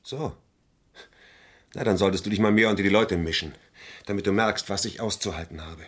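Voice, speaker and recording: An actor playing a proud, aggrieved stage performer suspected of murder in a radio crime play, bitter and insistent. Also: male voice, sits low, a little fast, fairly bright tone, husky. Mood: bitter, wounded.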